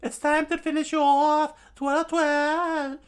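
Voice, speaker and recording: Man putting on a high, coy young woman. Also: flat delivery, slow. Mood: playfully menacing. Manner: coy, cooing, affected. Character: villain mimicking a cute, coy young woman.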